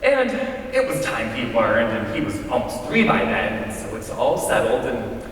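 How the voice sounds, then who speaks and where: Casting: eager, playful male raconteur actor, very animated swoops, theatrical, in a hugely echoing hall. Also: medium pitch, moderate pace. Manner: theatrical, mimics dialogue. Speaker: storyteller in a readers theater. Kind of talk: staged family anecdote with acted quotes. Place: large hall, live stage performance. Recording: very echoey hall, distant mic, faint noise.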